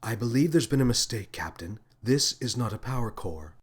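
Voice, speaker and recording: A man voicing a gentle, kind female feathered alien officer who speaks softly and politely. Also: medium pitch, expressive, brisk, husky. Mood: calm.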